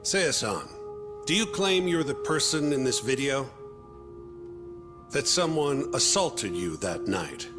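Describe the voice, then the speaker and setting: An actor playing an insistent male defense lawyer in a video game dub, questioning in a formal, deliberate way. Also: mid-range voice, sing-song. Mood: formal, pressing. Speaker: defense attorney character. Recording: dramatic music behind the dialogue.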